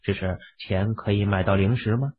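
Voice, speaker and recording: A man's voice: on the low side, fairly even intonation, moderate pace, breathy edge. A man voicing an understated, musing young husband, the laid-back hero of an urban fantasy audiobook. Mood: brooding. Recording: muffled, phone-like, lo-fi audio.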